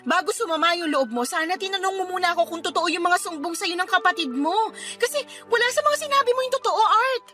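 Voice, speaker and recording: A woman's voice: extremely high pitch, animated, fast talker, pushed and bright, faint music behind, full character voice. A woman playing an indignant, defensive girlfriend in a Filipino radio drama, with emphatic, sarcastic retorts and a Filipino accent. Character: indignant girlfriend, defensive, sarcastic, hot-tempered older sister type.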